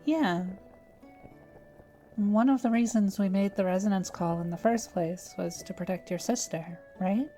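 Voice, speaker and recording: A woman playing a gentle, patient young alchemist who explains things calmly and matter-of-factly in a fantasy audio drama. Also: mid-range voice, unhurried, soft background music, natural voice, rough recording. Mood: calm, thoughtful. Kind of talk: fantasy audio roleplay acting.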